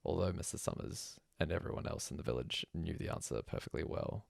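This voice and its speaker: A man's voice: rumbling low, lively intonation, fairly quick, gravelly, dry recording, clean studio sound. A man reading a scripted bedtime story aloud as a narrator.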